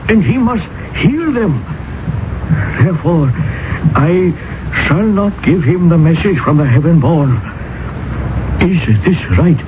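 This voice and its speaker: Radio drama servant: Indian, loyal and fearful, high male voice, solemn archaic phrasing, tinny noisy recording. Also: expressive, slow, pauses often. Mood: grave, resolute. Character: devoted, fearful Indian manservant pleading with his master. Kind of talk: old-time radio drama acting.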